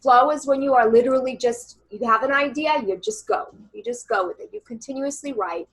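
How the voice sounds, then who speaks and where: Woman, loud, slightly high, breathy, earnest, coaching tone. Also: fairly quick. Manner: earnest and reassuring, many fillers. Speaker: channeler and coach, guest. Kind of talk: casual interview, guiding a meditation exercise.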